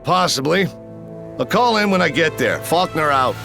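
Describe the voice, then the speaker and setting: A man's voice: a little high, lively intonation, moderate pace, vocal fry. A man playing a wry, confident army major in a sci-fi game, speaking in a dry, informal way. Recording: music behind the voice.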